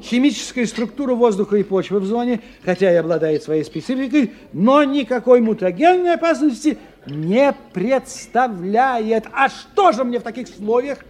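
A man's voice: extremely high pitch, expressive, normal speed, a little mellow. A man acting in a radio drama as a tipsy, learned scientist who lectures emphatically, stammering and repeating himself. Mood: agitated, baffled. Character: excitable, tipsy, learned male scientist explaining mysteries.

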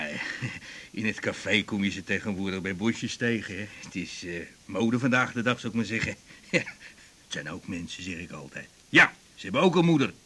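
A man's voice: on the low side, a bit bright, a little hiss or hum. An actor playing a nervous, blustering patient in his forties in a radio drama, hesitant and stammering. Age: 40s. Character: nervous, blustering male patient aged forty-one.

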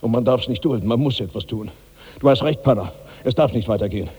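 An actor playing a gigantic, self-pitying rich glutton of 65 in theatrical, grandiose 1960s radio drama style, swinging between brooding and giddy. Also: male voice, medium pitch, fast, warm tone, husky, dull audio, lightly acted. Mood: resigned, hungry. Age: older. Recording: reverberant 1960s radio studio recording.

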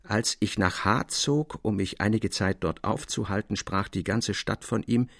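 An actor playing a composed gentleman who tells a literary story in the first person, in a measured way. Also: on the low side, vocal fry, dry recording, studio quality, full character voice. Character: composed gentleman storyteller recalling an eccentric acquaintance.

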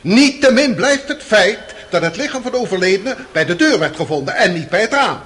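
Insistent prosecutor, male, high-pitched, animated intonation, formal, argumentative, radio drama. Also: brisk. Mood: insistent, cool. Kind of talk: radio play acting.

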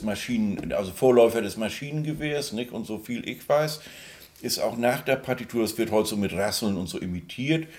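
A man speaking as a music expert, explaining off the cuff in a halting, digressive way, often tagging his phrases with a questioning word. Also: lowish voice, darkish tone. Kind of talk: unscripted interview-style reminiscing explanation.

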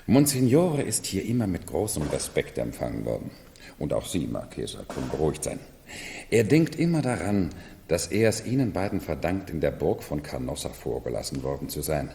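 Brisk deferential retainer, emphatic, animated, hissy echoing old radio drama. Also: male voice, extremely low pitch, vocal fry, heavily acted. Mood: deferential, reassuring. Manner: emphatic, repeating himself, formal. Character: brisk, deferential male retainer organizing a costume masquerade. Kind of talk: 1970s German radio play acting. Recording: reverberant, noisy 1970s radio drama recording.

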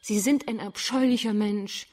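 Actress as frank, gentle young woman. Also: medium pitch, steady tone, measured pace, close to an everyday voice. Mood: earnest, reproachful. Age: young adult. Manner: candid, composed, earnest. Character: frank, gentle young blind woman who keeps singing crickets. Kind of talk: German radio drama acting.

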